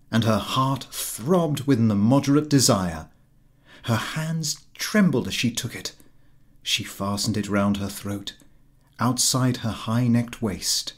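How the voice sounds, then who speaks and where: A man narrating a literary short story in a measured, dramatic manner. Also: on the low side, husky. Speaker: audiobook narrator. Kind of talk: expressive scripted story narration.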